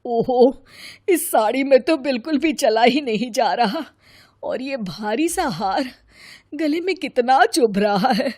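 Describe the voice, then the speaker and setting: A woman's voice: sits high, expressive, takes it slowly, darkish tone, dry recording, studio quality, full character voice. A woman voicing a weary elderly mother-in-law in a cartoon story, protesting and complaining. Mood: grumbling.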